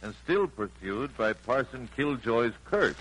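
A man's voice: medium pitch, very animated, unhurried, noisy background. An actor playing a homespun storyteller, narrating his father's tales in a 1940s radio drama. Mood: fond storytelling. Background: music.

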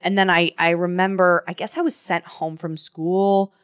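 Podcast host, woman, low smooth voice, animated and profane. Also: normal speed. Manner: animated storytelling with swearing. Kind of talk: casual chat.

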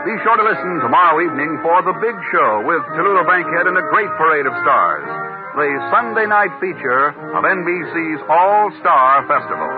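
Male vintage radio announcer, animated sponsor reads, narrow-band sound with music behind. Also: a little high. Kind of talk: 1950s radio announcing and ad reading.

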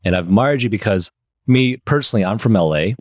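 A man from Michigan hosting a podcast, asking quick, eager questions in a casual interview. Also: lowish voice, expressive, dry recording, studio quality. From United States (Michigan). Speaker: podcast host.

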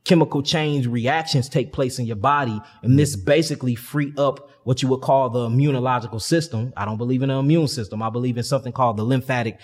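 A man's voice: mid-range voice, fast talker, hardly any pauses. A man in his thirties from St. Louis, speaking in an impassioned, slangy, explanatory teaching style with preacher-like build-ups. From St. Louis, Missouri, USA. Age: 30s. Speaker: interview guest, health and consciousness teacher. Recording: long hall-like reverb.

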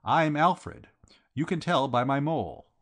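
A man voicing a flustered young gentleman, defensive yet meek and polite, in a comic storybook reading. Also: fairly high pitch, expressive, unhurried, pitched above the performer's own voice. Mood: firm, defensive.

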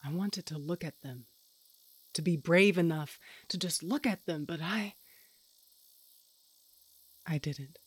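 An actress playing a hardened, self-loathing monster hunter, narrating an audio drama in an intense, profane, confessional way. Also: female voice, lowish voice, slow, pauses often, husky, dry recording. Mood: anguished. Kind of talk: first-person audio drama narration with acted dialogue. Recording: music bed behind the voice.

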